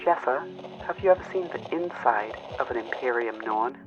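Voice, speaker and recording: A man's voice: mid-range voice, animated, normal speed. A man voicing a weary, frightened female mech pilot in an audio drama, strained and pleading over a radio. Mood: bitter, resigned. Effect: radio filter. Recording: muffled cockpit radio with music.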